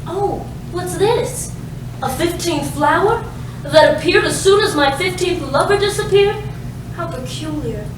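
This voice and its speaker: A woman's voice: very high-pitched, animated, a little slow. A woman playing a breezy, adoring, melodramatic lover in a comic stage reading, sweetly theatrical. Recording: echoey room from far off, clear noise.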